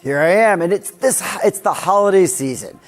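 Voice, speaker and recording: A man co-hosting a news show in a casual, chatty, rambling way, with a raspy, hoarse voice. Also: high voice, expressive, normal speed, soft background music. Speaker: news co-host.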